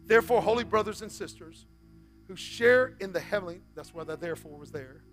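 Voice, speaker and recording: High-voiced pastor preaching with big swings. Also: male voice, lively intonation, a little fast, soft background music. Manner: swings from loud, high exhortation to soft, near-whispered appeals. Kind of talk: sermon. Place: church stage, indoors.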